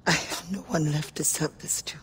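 Wistful, medium-pitched rebel comrade as acted for a game. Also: steady tone, moderate pace, fairly bright tone, slight background noise, close to an everyday voice. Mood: wistful farewell. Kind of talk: cinematic video game acting.

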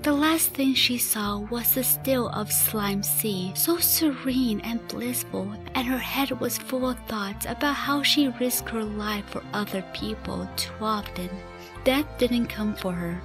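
A woman with a fairly high voice narrates a scripted radio play. Music plays clearly behind her storytelling. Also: a little slow.

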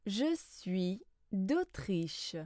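A woman reading scripted lesson phrases slowly, in a deliberate, carefully enunciated way. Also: mid-range voice, big pitch swings, dark tone, dry recording, studio quality. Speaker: language lesson reader.

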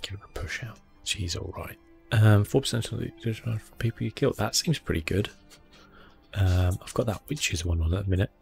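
A man giving relaxed ASMR commentary on a game, understated and hesitant as he thinks aloud close to the microphone. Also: fairly low pitch, moderate pace, creaky, faint music behind. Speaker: gaming video host.

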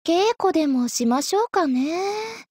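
An actress voicing a young sword maiden in an anime mobile game, polite and coy, with a teasing, possessively sweet manner. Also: female voice, very high voice, lively intonation, a little slow, a little mellow, no room sound, clean studio sound. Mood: polite, composed. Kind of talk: Japanese anime mobile game character lines.

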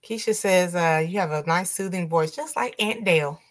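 A woman with a raspy voice hosting a casual livestream over a video call, reading out viewer comments. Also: on the low side, moderate pace. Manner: reads viewer comments aloud. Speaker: livestream host. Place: home room.

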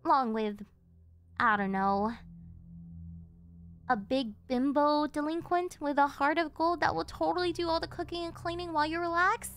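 A woman's voice: high voice, normal speed, a bit bright, faint music behind. An actress playing a clingy, possessive delinquent girlfriend in an ASMR roleplay, sweet and doting with a menacing edge. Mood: excited, coaxing. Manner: doting, pleading, swinging into threats.

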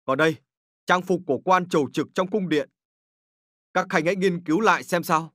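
A man voicing a dignified, exacting but gracious emperor in a historical cartoon, formal and deliberate in his authority. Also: sits high, a bit bright, no echo, studio quality. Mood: stately.

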